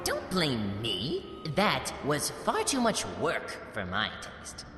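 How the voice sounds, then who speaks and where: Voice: medium pitch, huge pitch swings, slow. An actor playing an amused, condescending alien being in a sci-fi parody, with a mocking, nonchalant and theatrical delivery. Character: amused, condescending, detached alien being feeding on mental energy. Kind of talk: animated sci-fi parody voice acting. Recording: long echoing reverb with music behind.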